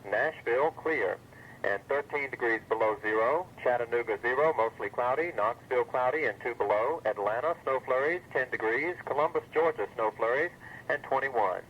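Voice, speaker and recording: A man's voice: medium pitch, moderate pace. Male announcer for scripted weather reports, muffled lo-fi radio recording, faint noise underneath. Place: broadcast over weather radio.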